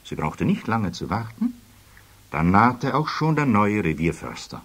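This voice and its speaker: A man's voice: on the low side, big pitch swings, measured pace, a bit bright, breathy edge. A man narrating a children's fairy-tale audio play as a scripted storyteller. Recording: music behind, children's cassette production.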